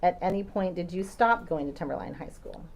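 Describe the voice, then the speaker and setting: A woman attorney questioning a witness in a courtroom, patient and measured, with occasional ums. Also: lowish voice, lively intonation, gravelly, slight background noise. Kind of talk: courtroom direct examination.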